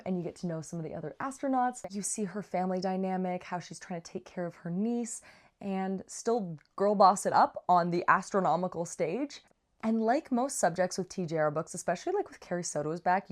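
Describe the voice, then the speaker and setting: A woman giving a casual, chatty book review with slangy asides and playful, dramatic emphasis. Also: mid-range voice. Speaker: book reviewer, vlog host.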